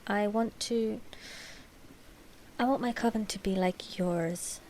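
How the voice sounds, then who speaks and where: A woman playing a doll-like vampire royal, sweet and scheming, speaking formally and deliberately in a scripted ASMR roleplay. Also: medium pitch, darkish tone, a little hiss or hum, close to an everyday voice. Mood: coaxing, sweet.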